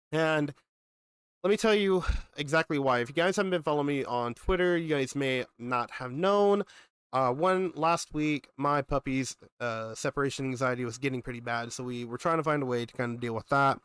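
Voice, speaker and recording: A man's voice: mid-range voice, normal speed, a bit bright, clean tone, no room sound, clean studio sound. A young man with a cold, sounding congested, chatting casually with viewers as a gaming streamer and rambling on with asides. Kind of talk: casual live-stream chat.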